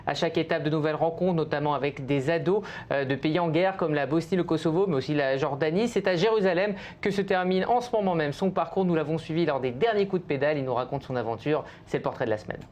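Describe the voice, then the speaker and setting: A man's voice: fairly high pitch, fast talker, few pauses, a little mellow. A man hosting a studio news show who introduces reports and questions a guest, with some hesitant fillers.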